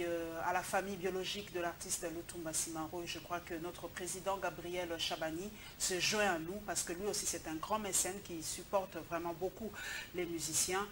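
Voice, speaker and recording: A woman's voice: on the low side, noisy background. A woman hosting a TV news show and pressing her guest with pointed questions. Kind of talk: TV studio interview and news presentation.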